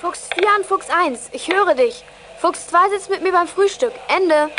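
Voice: very high-pitched, expressive, unhurried, sharp tone, slight background noise, exaggerated voice. A young female performer playing a caring, outspoken girl detective in a German children's radio play from the 1980s. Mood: concerned.

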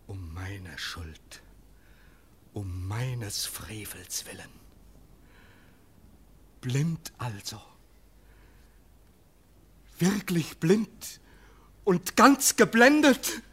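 An actor playing a hot-tempered young male fugitive in a classic German radio play, his husky voice often dropping to urgent whispers. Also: mid-range voice, very animated, very slow, pauses often, exaggerated voice. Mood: anguished. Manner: urgent, anguished outbursts.

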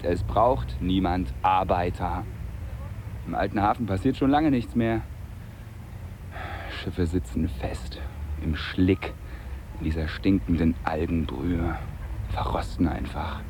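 An actor playing a bitter, foul-mouthed jobless labourer in a radio drama, grumbling cynically and coarsely. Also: male voice, low-pitched, a little slow, a little mellow, slight background noise. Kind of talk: naturalistic radio drama dialogue.

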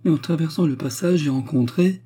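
A man's voice: lowish voice, moderate pace, dark tone, slightly rough. A man voicing a proud, distraught noblewoman, a widow who complains in haughty, tearful exclamations, as in an audiobook. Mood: indignant scorn.

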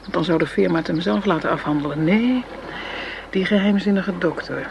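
A man's voice: high-pitched, a little fast, gravelly, lo-fi sound, noisy background. An actor in a radio drama playing a shrewd, cautious head of a counterfeiting ring, dismissive and decisive in his talk. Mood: dismissive, wary. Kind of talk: Dutch radio drama dialogue.

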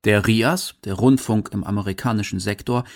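A man's voice: on the low side, moderate pace. A man reading scripted documentary narration as a radio narrator.

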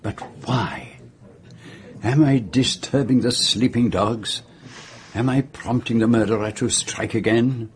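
An actor playing a courteous, shrewd Belgian detective with a French-speaking accent, formal and measured, in a radio drama. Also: male voice, mid-range voice, natural voice. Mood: measured, probing. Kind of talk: radio drama acting and narration.

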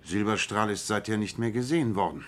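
A low-pitched, self-assured detective played by a man in a radio play. He lays out his reasoning in a measured, precise way, over a bed of light background noise. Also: fairly bright tone, natural voice. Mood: analytical, assured. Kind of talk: German radio play dialogue.

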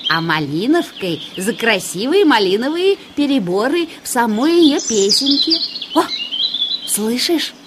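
An actress in a children's audio play playing an experienced, bossy older cuckoo, instructing in a gossipy, emphatic way. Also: female voice, a little high, very animated, unhurried, background noise. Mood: explaining, emphatic.

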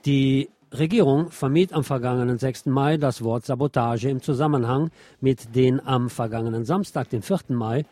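A man's voice: medium pitch, mellow, no room sound. A man presenting scripted radio news, adding opinionated, wry asides as he reads. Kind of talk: scripted local news read with spoken asides.

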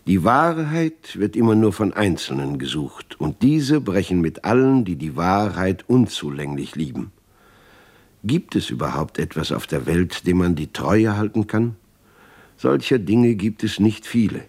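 1950s radio drama: reflective, earnest male intellectual, low-voiced, delivering a diary monologue. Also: big pitch swings, measured pace, a little mellow, breathy edge, full character voice. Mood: earnest reflection. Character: thoughtful male Russian writer reading his philosophical diary.

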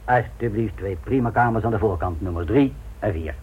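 An actor playing a genial but evasive village innkeeper in a radio drama, polite, hesitant and soothing. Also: male voice, fairly low pitch, a little fast, close to an everyday voice. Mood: deferential. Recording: tinny, narrow-band radio recording.

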